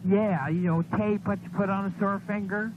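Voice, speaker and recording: A woman's voice: mid-range voice, fairly even intonation, moderate pace. Older woman, folksy rambling radio caller, rural phrasing, narrow telephone audio with hiss and hum. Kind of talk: casual personal phone chat. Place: on a telephone line. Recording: noisy, tinny phone call on 1970s radio.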